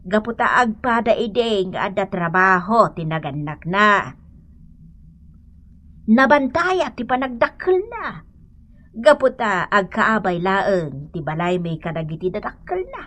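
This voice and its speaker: A woman's voice: medium pitch, sing-song, a little slow, fairly bright tone. An actress with an Ilocano accent playing an older woman earnestly counselling a young wife in a radio drama, in an emphatic, motherly, admonishing manner. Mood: earnest advising.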